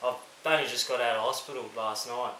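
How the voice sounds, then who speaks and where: A man with an Australian accent talks in a loose, blokey way as a caller on the phone. His voice is bright, and the recording is echoey with faint noise. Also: mid-range voice, fairly even intonation, fast talker. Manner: blokey, matey, rambling. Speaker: prank caller. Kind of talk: casual phone call chat. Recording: roomy, faintly noisy, echoing phone-call recording.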